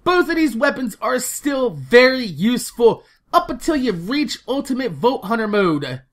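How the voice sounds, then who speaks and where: A woman hosting a gaming video, giving casual, animated news commentary with informal talk and plenty of swearing. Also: fairly high pitch, normal speed.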